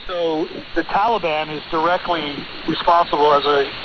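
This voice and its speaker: Male American lawyer as interview guest, fairly high voice, emphatic and argumentative, muffled radio sound. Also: steady tone, moderate pace. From United States. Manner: assertive, emphatic, insistent. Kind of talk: broadcast interview answers, argumentative. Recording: noisy, dull shortwave radio relay.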